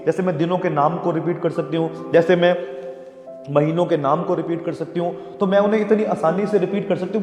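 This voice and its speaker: A man with an Indian accent teaching a class, reading English verse lines slowly and explaining each one with frequent "okay". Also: on the high side, darkish tone. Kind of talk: explaining a poem line by line to students. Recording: echoey room with long reverb and background music.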